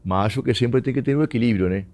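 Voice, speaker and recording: A man's voice: mid-range voice, fast talker, darkish tone. A Uruguayan man, a former footballer whose first language is Spanish, telling stories in an interview and mixing Portuguese with Spanish. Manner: mixes Portuguese and Spanish, laughs often. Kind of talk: storytelling interview.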